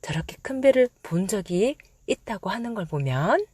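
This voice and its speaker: A woman narrating a children's storybook as an expressive storyteller. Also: mid-range voice, moderate pace, slightly rough, no echo.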